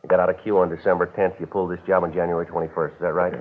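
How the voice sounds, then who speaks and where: An actor playing a terse, no-nonsense police detective with a clipped, matter-of-fact delivery in old radio drama style. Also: male voice, low-pitched, level tone, rapid-fire. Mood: pressing. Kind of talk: 1940s radio acting with scripted narration. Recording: tinny old radio recording.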